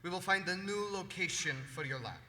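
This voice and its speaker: An urgent, loyal associate in emphatic theatre style. His voice is high and piercingly bright, carrying through a hall with long reverb. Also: brisk. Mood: protective, firm. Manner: emphatic, insistent. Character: loyal, urgent male friend and lab associate. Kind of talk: musical theatre staged reading. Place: theatre stage.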